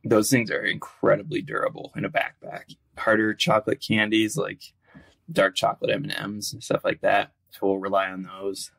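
A man who is an outdoor cookbook author, talking in a relaxed, rambling way as a podcast guest with lots of fillers. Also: on the low side, normal speed, creaky. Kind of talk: casual interview talk.

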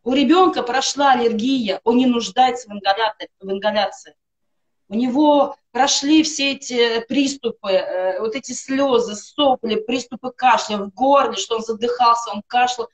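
A woman's voice: fairly high pitch, normal speed. Earnest woman on a webcam call. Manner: earnest, repetitive, emphatic lists. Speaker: guest on a live stream. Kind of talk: personal testimonial for a wellness product. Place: home room, indoors.

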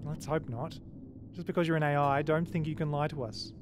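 A man's voice: on the high side, fairly even intonation, a little fast, background noise, natural voice. A man playing an edgy, sarcastic spaceship pilot in an audio drama, talking in a blunt, sardonic way. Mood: suspicious, prickly.

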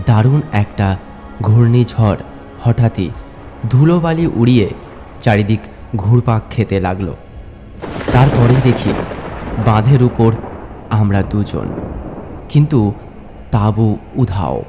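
A man narrating a horror story in the first person, dramatically, in halting, suspenseful short phrases. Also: lowish voice, expressive, over music.

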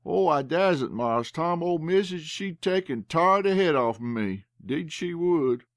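A man's voice: fairly high pitch, lively intonation, unhurried, no echo. Casting: fearful boy, voiced by a man, hesitant, dry close sound. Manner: hesitant, pleading. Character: timid young male servant boy.

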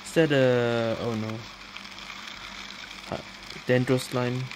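A woman's voice: a bass voice, fairly even intonation, slow pace, background noise. A woman doing casual let's-play commentary as she plays, muttering reactions with mild swearing. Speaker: gamer playing through a game quest.

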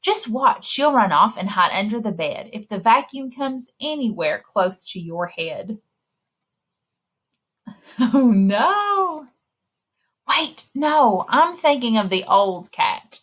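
A woman in her thirties reading a picture book aloud to children in an expressive, exclaiming way, speaking directly to her young listeners, with a slightly husky voice. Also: on the high side, slow pace, long pauses. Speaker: children's librarian and story time reader. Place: indoor room, recorded screen share with webcam.

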